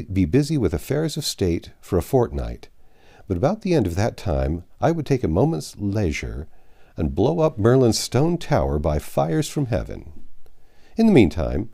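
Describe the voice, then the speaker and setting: Low, mellow male storyteller. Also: creaky. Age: 50s or 60s. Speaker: audiobook narrator. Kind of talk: reading a classic novel aloud. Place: small home library, indoors.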